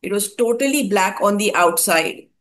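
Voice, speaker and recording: A woman's voice: medium pitch, animated, moderate pace, fairly bright tone. A woman from India hosting a conversation, recounting a meditative experience with frequent hesitations and fillers. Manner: hesitant, many fillers and restarts. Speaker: host. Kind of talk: casual spoken account of a spiritual experience.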